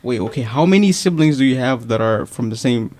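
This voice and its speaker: A man's voice: mid-range voice, animated, a little fast, a little mellow, no room sound. A man hosting a podcast interview, often repeating words as he asks questions. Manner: stammering, repeats words while asking. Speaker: podcast host.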